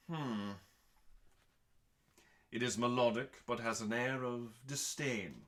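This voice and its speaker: A man reading scripted comic narration in a mock-dramatic, pompous manner, pausing deliberately for effect. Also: mid-range voice, sharp tone. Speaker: host reading comedic cookbook recipes.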